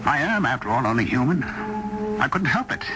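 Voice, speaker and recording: A man's voice: mid-range voice, big pitch swings, moderate pace, natural voice, rough recording. A man acting in a radio suspense drama, playing a brooding writer who grows convinced of his destiny, musing intensely in dramatic questions. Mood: grandiose, intense. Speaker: actor and first-person narrator. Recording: old radio drama with music underneath.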